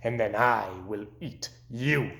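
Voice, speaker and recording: Someone playing a slow, menacing old dark frog. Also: mid-range voice, echoey room, voice pushed down. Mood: threatening.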